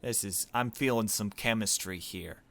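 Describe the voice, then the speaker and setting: A man's voice: fairly low pitch, normal speed, gravelly, a bit bright. A man hosting a gaming video, reading visual novel narration aloud and adding his own commentary.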